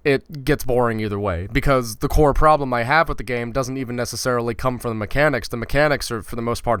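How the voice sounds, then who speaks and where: A male gaming YouTuber delivers a blunt, profane rant straight to his viewers. He stumbles and repeats himself as he goes, at an ordinary middle pitch. The sound is dry and close with no room echo. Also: a bit bright, clean tone. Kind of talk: casual ranting commentary.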